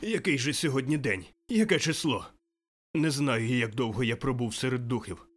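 A man's voice: mid-range voice, sing-song, a little fast, pitched above the performer's own voice. A man voicing an old miser turned overjoyed and giddy, exclaiming breathlessly in an audiobook. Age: older. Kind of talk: audiobook character voice.

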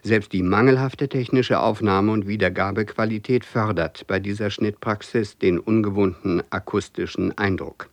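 A man's voice: fairly low pitch, no room sound. A man reading a formal, scripted introduction to an audio play as a radio presenter. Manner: formal, literary wording.

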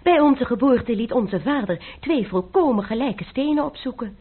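Coy young marquise. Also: female voice, a little high, lively intonation, normal speed, slightly rough. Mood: teasing. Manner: coquettish, teasing. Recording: tinny old radio broadcast.

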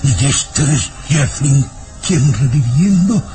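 An actor with a Mexican accent playing a menacing, obsessive, imperious baron in a theatrical old radio serial. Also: male voice, medium pitch, animated, takes it slowly, music in the background. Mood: brooding, obsessed.

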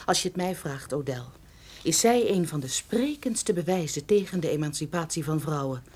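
Sharp-tongued, expressive detective's partner, lowish voice. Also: female voice, moderate pace, slightly rough. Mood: disdainful, curious. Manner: emphatic, questioning, opinionated. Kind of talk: Dutch radio play acting.

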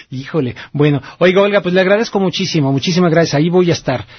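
Mexican guy hosting a call-in show, raspy, chatty and rambly, dull lo-fi radio sound. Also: fairly high pitch, fast talker, no room sound. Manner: colloquial, rambling, reflective. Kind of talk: casual conversational radio commentary.

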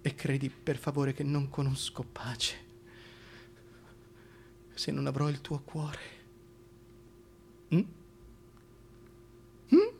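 A man's voice: medium pitch, little pitch movement, takes it slowly, vocal fry, long pauses, a little mellow, slight background noise. An actor playing a crude, scheming, wheedling king in a theatrical comic monologue, with a histrionic delivery that swings from murmurs to outbursts. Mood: sly, wheedling. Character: crude, scheming, wheedling male tyrant king wooing a woman. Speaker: stage actor in a solo radio theatre piece.

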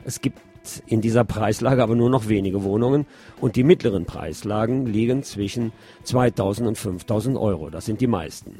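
A middle-aged man hosting a radio show, reading news commentary in a measured, opinionated way. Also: lowish voice, dark tone. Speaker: radio host.

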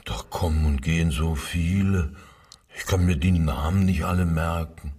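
An actor playing a submissive, evasive railway worker under interrogation, hesitant and deferential, in a crime radio drama. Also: male voice, low-pitched, steady tone, normal speed, warm tone, distant mic. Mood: cornered, hesitant.

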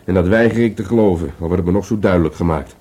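A musing police detective in an intimate radio drama. His voice is low, dark and husky. He talks in a hesitant, wry way, as if thinking aloud. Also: very fast. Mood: earnest. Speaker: actor in a radio play. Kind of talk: Dutch radio drama dialogue.

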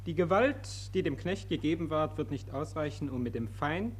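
An actor playing a biting, sardonic pessimist with pointed, caustic delivery in a 1940s radio play. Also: male voice, fairly high pitch, expressive, moderate pace, close to an everyday voice. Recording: old radio recording, faint hiss.

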